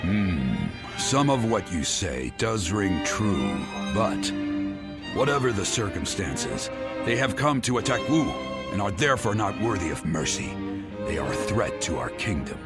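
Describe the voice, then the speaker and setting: An actor playing a stern, loyal officer of an ancient Chinese kingdom in a game cutscene, formal and firm. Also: male voice, lowish voice, sing-song, measured pace. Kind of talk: video game cutscene acting. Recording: loud orchestral music behind the voice.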